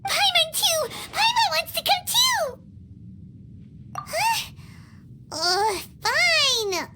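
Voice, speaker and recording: Squeaky childlike game fairy, very high voice, swooping melody, chirpy and cheeky, exclamatory. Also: female voice, big pitch swings, very slow, very noisy, full character voice. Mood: eager. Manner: refers to self in third person, exclamatory. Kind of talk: video game cutscene acting. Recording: loud game music behind.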